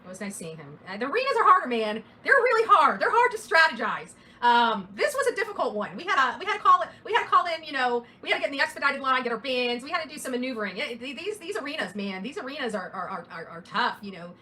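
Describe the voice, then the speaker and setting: A woman's voice: high-pitched, very animated, quick pace, hardly any pauses, sharp tone, slight background noise. A woman livestreaming casual true-crime commentary in a blunt, opinionated, emphatic way, as the host addressing her viewers.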